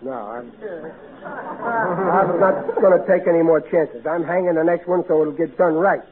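A man's voice: sits high, measured pace, a little hiss or hum. An actor playing a blustering, folksy working-class family father with a Brooklyn accent in 1940s radio comedy, on a tinny recording. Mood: exasperated. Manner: folksy, ungrammatical, easily flustered.

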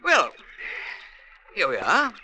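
A pompous British colonial major blusters through clipped, hesitant phrases. His voice swoops widely and cuts through bright and sharp, in classic radio drama style with faint noise behind. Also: sits high, wildly swooping pitch, slow pace, full character voice, poor audio. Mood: self-assured, probing. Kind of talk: old-time radio drama acting.

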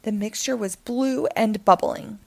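A woman reading a children's picture book aloud in an animated storytelling style. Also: fairly high pitch, measured pace, vocal fry.

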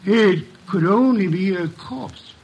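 An actor playing an imperious, erudite detective with formal, precise diction in a classic radio mystery. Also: male voice, high-pitched, takes it slowly, over music, low-quality recording. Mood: commanding, grave. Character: imperious, erudite male detective in command of suspects.

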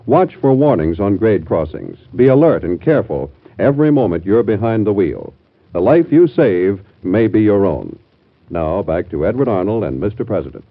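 A man making a formal announcement in vintage radio style. His low voice moves animatedly and stresses key words. The sound is thin and tinny, from an old broadcast. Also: big pitch swings, normal speed, a little hiss or hum. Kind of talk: 1940s radio public service announcement.

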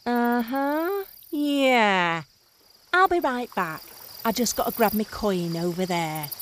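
An actress playing a brusque, self-interested heiress in a fantasy audio drama, offhand and dry. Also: female voice, on the high side, expressive, takes it slowly, slight background noise. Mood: dry, casual.

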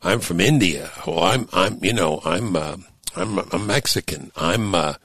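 An older man hosting a talk show, speaking thoughtfully with halting restarts that build to emphatic points. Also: low-pitched, fairly bright tone, husky, no room sound. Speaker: host and author. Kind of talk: casual radio talk show conversation.

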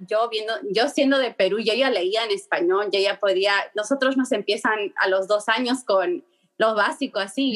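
A young woman, answering interview questions in a halting way many fillers and restarts, slipping words in. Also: medium pitch. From Peru. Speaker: guest, journalist.

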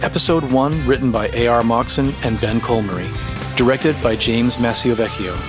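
A man's voice: medium pitch, normal speed. Male credits announcer, scripted podcast read, mid-range voice, guitar bed. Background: guitar music.

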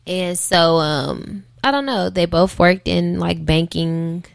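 American woman with a lowish voice, talking casually. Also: slow pace, creaky. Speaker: interview guest, singer and rapper. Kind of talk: casual conversational interview.

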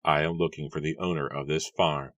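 A man's voice: rumbling low, fairly even intonation, fairly quick, fairly bright tone, no room sound. Man voicing a polite, cheerful young farmhand. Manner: polite, eager.

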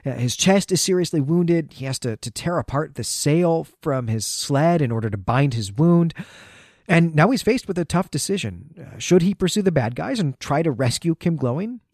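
A man's voice: a little high, lively intonation, moderate pace, darkish tone, no room sound, studio quality. A man hosting a podcast, recapping in a conversational way with dramatic emphasis as he goes. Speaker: podcast host.

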